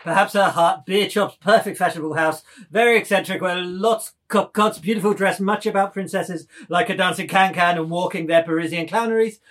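A man performs a tipsy young show-off in a dramatised novel. The voice is high for a man. He declaims and minces theatrically, as if mocking foreign speech. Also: a little fast, hardly any pauses, fairly bright tone. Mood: gabbling, mocking. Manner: declamatory, mincing, mock-foreign broken English. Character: drunken, theatrical young man, grimacing and clowning. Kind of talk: dramatised reading of a modernist novel.

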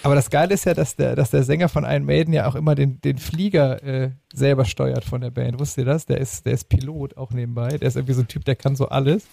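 Creaky-voiced man chatting casually, close and dry. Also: mid-range voice, fairly even intonation, fast talker, hardly any pauses, warm tone, no room sound. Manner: stammering repetition of words and phrases. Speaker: podcast host. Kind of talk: casual chat about music.

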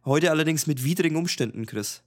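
A man's voice: a little high, quick pace. A young man with a Franconian accent, co-hosting a casual podcast chat over a video call and laughing easily. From Franconia, Germany. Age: young adult. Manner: relaxed, chatty, laughs easily. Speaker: podcast co-host. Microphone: home podcast mic over video call.

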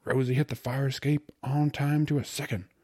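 A man's voice: on the low side, expressive, moderate pace, darkish tone, breathy edge, pitched above the performer's own voice. A man voicing a cheerful, cocky young suitor who talks in eager, colloquial slang for an audiobook. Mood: jubilant. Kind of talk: audiobook character voice.

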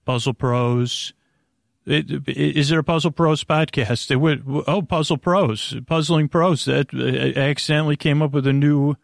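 A man hosting a sleep podcast with a rambling, improvised monologue, meandering and halting as he drifts into tangents. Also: mid-range voice, creaky, dry recording, clean studio sound. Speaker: podcast host.

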